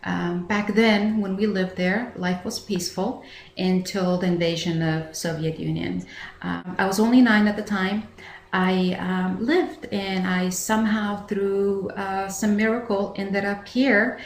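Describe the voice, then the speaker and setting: A middle-aged woman from Afghanistan giving earnest, halting answers as an interview guest. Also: medium pitch, fairly even intonation. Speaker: founder of a charitable bottled water company, interview guest. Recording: echoey room, faint background noise.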